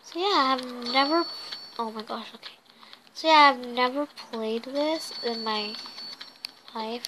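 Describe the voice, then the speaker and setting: A young woman who is losing her voice, hoarse and hesitant, chatting with many ums while playing a mobile game. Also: high-pitched, measured pace, noisy background. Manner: hesitant, full of ums and uhs, apologetic. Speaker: gameplay video host. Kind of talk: casual gameplay commentary.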